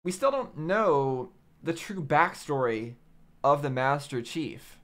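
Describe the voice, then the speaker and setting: A man with a slightly high voice hosts a reaction video. He talks casually, thinking aloud, often stopping and restating his phrases. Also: lively intonation, slow pace, fairly bright tone.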